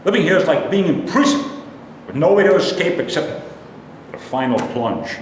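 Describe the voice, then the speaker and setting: A man plays an aggrieved old male veteran in a staged reading, cursing and griping. His fairly high voice swings widely in pitch. It rings through a very echoey hall, picked up from a distance. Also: expressive, normal speed, lightly acted. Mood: weary despair. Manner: aggrieved, profane ranting. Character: bitter, griping, foul-mouthed old male army veteran. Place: indoor live staged reading. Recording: echoey hall, distant mic, rumbling noise.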